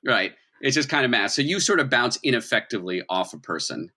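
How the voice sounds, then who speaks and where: Man, bright forceful voice, game master, improvised narration, conversational, explanatory. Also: mid-range voice, very animated, a little fast.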